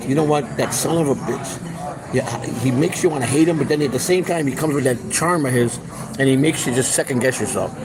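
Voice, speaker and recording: A man's voice: mid-range voice, low-quality recording. A man in his fifties hosting a casual, rambling live stream chat, often repeating himself for emphasis. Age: 50s.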